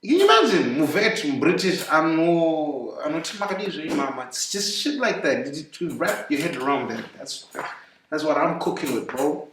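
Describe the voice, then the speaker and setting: A man's voice: a little high, sing-song, moderate pace, fairly bright tone. A young man from Zimbabwe, chatting casually and animatedly, swearing and stumbling over repeated words. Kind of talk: casual chat. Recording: very echoey room, far from mic.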